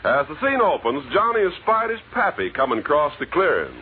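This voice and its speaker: A man's voice: fairly high pitch, very animated, a little fast. A man announcing and narrating a 1940s radio comedy sketch in a mock-dramatic style. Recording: tinny 1940s radio, faint music.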